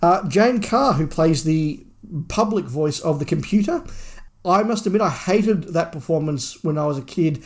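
A man's voice: fairly high pitch, lively intonation, normal speed. A man co-hosting a podcast, giving opinionated, emphatic views in a casual review discussion.

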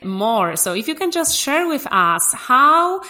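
A woman's voice: sits high, sing-song, takes it slowly, sharp tone. A woman hosting a motivational podcast, talking in an enthusiastic, encouraging way. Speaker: podcast host.

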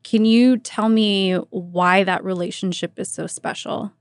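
American woman radio host, chatty casual interview, lots of "like", dry studio sound, mid pitch. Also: fairly even intonation, unhurried, dry recording. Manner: chatty, informal, peppers talk with "like" and "you know".

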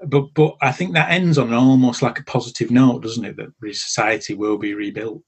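A man's voice: mid-range voice, fairly even intonation, fairly quick, slightly rough. A middle-aged man, chatting wryly and restarting his sentences on a gaming podcast. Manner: chatty, stumbling restarts, wry. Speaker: podcast co-host. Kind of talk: casual chat.

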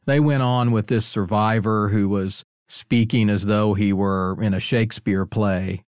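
A man's voice: lowish voice, fairly even intonation, a little slow, a little mellow, smooth, dry recording, studio quality. A man hosting a conversational interview, commenting and asking questions. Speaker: interviewer, host.

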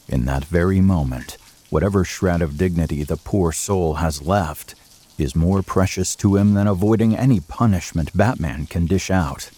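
Low-voiced male dramatic narrator. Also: warm tone. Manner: measured, literary, serious.

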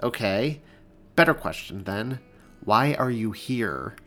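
A man's voice: mid-range voice, lively intonation, slow, fairly bright tone, faint music behind. A man voicing a dryly sensible, grudging teenage boy in an audiobook story. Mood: skeptical. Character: curt, grudging eighteen-year-old boy, dryly sensible. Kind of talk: audiobook character dialogue.

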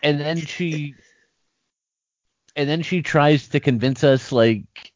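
A man co-hosting a podcast in casual chat, joking, animated, often restarting his sentences. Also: fairly high pitch, takes it slowly. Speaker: podcast co-host. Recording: dry, dull lo-fi sound.

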